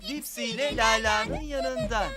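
A big, soft-natured toddler creature for preschool television. It speaks slowly with huge swoops in pitch, drawing out simple words like a baby. Music plays behind it. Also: huge pitch swings, heavily acted. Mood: delighted. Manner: babyish, drawn-out, echoes words simply. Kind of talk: preschool children's TV character voice.

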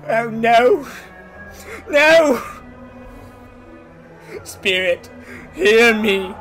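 A man's voice: extremely high pitch, a bit bright, full character voice. A man playing a repentant old miser in a radio drama, theatrical and exclamatory in his pleas and vows.

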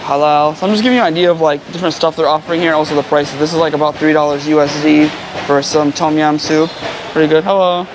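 A man's voice: fairly high pitch, fairly even intonation, fast talker. A man from the United States vlogging casually on a busy street, explaining local food and words to his viewers. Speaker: travel vlogger. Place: busy city street with traffic. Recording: street noise and traffic behind.

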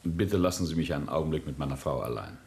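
A rich businessman under accusation in a radio play. His voice is deep, and he talks with bitter, earnest intensity. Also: lively intonation, quick pace, vocal fry, a little mellow, a little hiss or hum, heavily acted. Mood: earnest, regretful. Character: accused wealthy businessman, bitter, regretful husband and father. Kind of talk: German radio drama acting.

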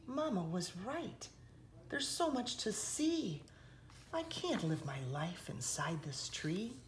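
A woman's voice: medium pitch, big pitch swings, slow, a little hiss or hum. A woman voicing a tiny, young, easily frightened female mayfly in a storybook, earnest and emphatic. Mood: earnest resolve.

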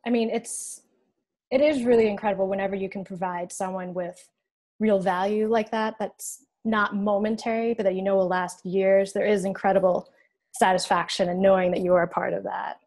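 A young woman hosts an interview over a video call from her home. Her voice sits at a middling pitch, and she asks her questions in an encouraging, affirming way. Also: steady tone, moderate pace, a little mellow. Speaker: podcast co-host. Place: home room, indoors.

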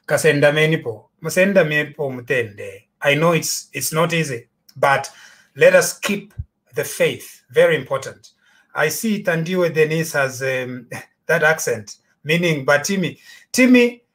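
A man's voice: on the high side, fairly bright tone. A middle-aged man hosting a live late-night call-in chat from a home study, thanking callers and switching between English and a local language. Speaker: host. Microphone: desk microphone in front.

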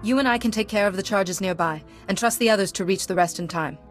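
A woman's voice: mid-range voice, faint music behind, lightly acted. Woman as a composed young heroine. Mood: resolute. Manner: measured, calm. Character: composed, resolute young female Jedi leader. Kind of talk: video game cutscene acting.

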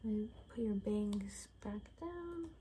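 A young woman speaks in a hushed, mellow voice that often drops into a whisper. She improvises an ASMR roleplay, rambling gently and repeating words. There is some noise in the room recording. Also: mid-range voice, expressive, slow pace. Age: young adult. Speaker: ASMR creator playing a caretaker. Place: small bedroom, indoors.

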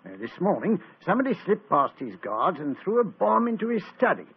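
A folksy, rambling police inspector. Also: male voice, high voice, big pitch swings, brisk, breathy edge, full character voice. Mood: earnest. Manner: homely, rambling with folksy sayings. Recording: tinny old radio broadcast.

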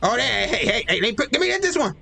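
Excitable young man streaming a game. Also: fast, creaky, slight background noise. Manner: excitable, yelps high and loud at the game; slangy, talks to himself. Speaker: gaming streamer. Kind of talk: casual gameplay commentary. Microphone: desk mic with webcam.

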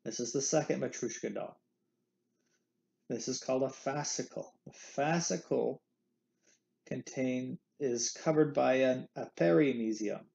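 Gravelly, playful man giving a lecture. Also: mid-range voice, unhurried, warm tone, highs cut off. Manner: playful, jokey explainer with candy analogies. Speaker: anatomy instructor. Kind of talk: recorded slide lecture. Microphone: screen recording with webcam.

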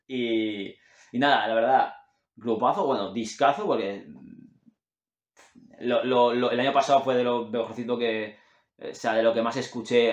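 A man, chatting casually and rambling enthusiastically as he recommends music to the camera at his desk. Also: mid-range voice. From Catalonia, Spain. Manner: rambling, enthusiastic, self-correcting, gestures with his hands. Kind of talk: casual chat. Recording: roomy echo in a home room.

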